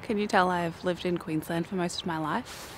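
Croaky young Australian woman outdoors. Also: lowish voice, fairly even intonation, normal speed, slight background noise. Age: young adult. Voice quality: croaky morning voice. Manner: chatty, self-mocking, giggly. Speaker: flower farming vlogger. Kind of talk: casual vlog talk to camera. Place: backyard garden outdoors, cold morning.

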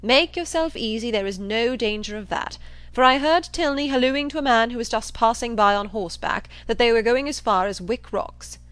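A woman voicing a loud, brash, boastful young man with a blustering, impatient delivery in a storybook reading. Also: a little high, moderate pace, smooth, no room sound, voice pushed up, lightly acted. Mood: emphatic. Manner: blustering, emphatic exclamations.

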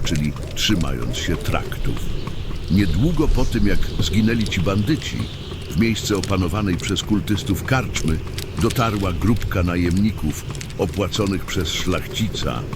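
A man playing a father who tells a dark fantasy tale in a measured, explanatory storytelling manner. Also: sits low. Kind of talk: fantasy audio drama narration. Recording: loud vehicle-like ambient noise behind.

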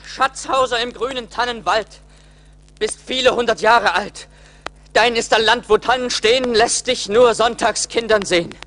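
A man's voice: very high-pitched, moderate pace, pushed and bright, slight background noise. An actor playing a bold, desperate man summoning spirits in a radio play, declaiming. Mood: incanting. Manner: declaiming, calling out. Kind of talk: 1980s German radio play acting.

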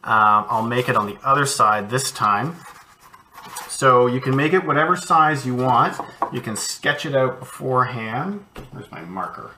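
A man's voice: medium pitch, animated, unhurried, noisy background. A man, an art educator, casually walking kids through a cardboard craft project from his home studio, encouraging and thinking aloud as he works.

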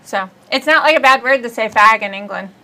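A bright, forceful woman's voice with a Midwestern accent, chatting and joking in a small studio. She is in her forties and from Ohio, and she tells her stories in a loose, laughing way. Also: fairly high pitch, brisk, rough recording. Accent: Midwestern American. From Ohio, USA. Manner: chatty, laughing, bawdy storyteller. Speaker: comedian host. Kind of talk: casual comedic chat. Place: small studio set, seated indoors.

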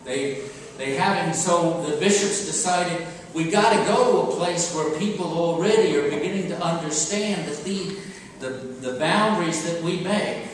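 A man in his sixties preaches from a pulpit in a large, very reverberant church, recorded from far off. His voice is fairly high, and he speaks conversationally but emphatically, pausing often as he goes. Clear noise sits behind him. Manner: halting and emphatic, with pauses and rhetorical questions. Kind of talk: sermon, homily delivered conversationally. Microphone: far camera microphone. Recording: distant, very echoey church, clear background noise.

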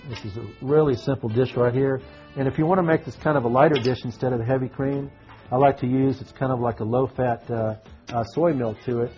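A man's voice: medium pitch, fairly even intonation, brisk, muffled recording, faint music behind. A middle-aged man chef giving a live cooking demo in a television studio kitchen, talking casually with lots of fillers while he works, on a lapel mic. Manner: folksy, talks while cooking with frequent fillers. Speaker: restaurant chef.

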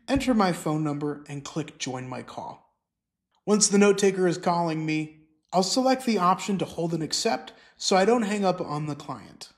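A middle-aged man presenting scripted instructional narration, explaining clearly step by step. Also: fairly high pitch, darkish tone. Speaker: software tutorial presenter.

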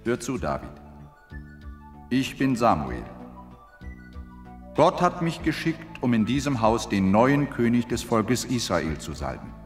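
A solemn biblical prophet, sure of God's will. His medium-pitched voice swings expressively in declarations. It rings in a long hall reverb, as in an audio drama. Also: big pitch swings, measured pace, long pauses, faint music behind. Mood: solemn, proclaiming. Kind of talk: Bible audio drama acting.

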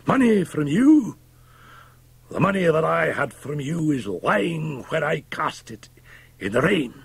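Man acting a husky, bitter old drunkard, pitched up. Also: expressive, slow, darkish tone, dry recording, full character voice. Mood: furious, anguished. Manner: declamatory, scornful. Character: bitter, drink-sodden old Scotsman, fierce and defiant. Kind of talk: dramatized audiobook of a gothic tale.

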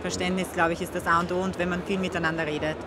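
Halting woman in a noisy expo hall. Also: lowish voice, little pitch movement. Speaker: interviewed fitness coach. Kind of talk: candid conversational interview answers. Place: large indoor fitness expo hall. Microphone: handheld recorder held out to speaker. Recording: noisy busy trade fair hall.

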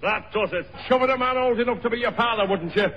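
A man's voice: very high voice, fast talker, a little hiss or hum. An actor playing a belligerent, blustering older man spoiling for a fight in a 1960s radio sitcom. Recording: muffled old radio recording in a hall.

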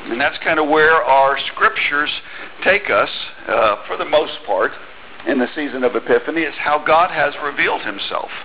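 A man's voice: a little high, expressive, moderate pace, narrow, tinny audio, a little hiss or hum. A middle-aged man preaching a sermon in a church on a headset mic, talking conversationally with small restarts and reading scripture aloud. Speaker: pastor. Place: church sanctuary.

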